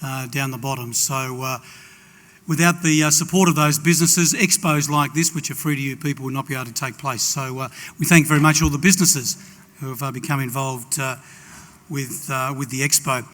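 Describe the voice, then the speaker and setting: Host with a reverberant echo. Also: male voice, mid-range voice, steady tone, fairly quick, fairly bright tone. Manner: hesitant, many fillers. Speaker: event host introducing the speaker. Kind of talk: introductory remarks. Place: seminar hall at an expo. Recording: reverberant hall.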